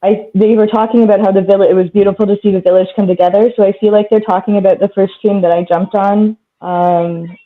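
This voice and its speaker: A woman's voice: mid-range voice, fairly even intonation, fast talker, few pauses. Woman on a tinny call line. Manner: warm, gently supportive. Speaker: recovery meeting participant. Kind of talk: casual group sharing. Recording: tinny phone-like video call audio.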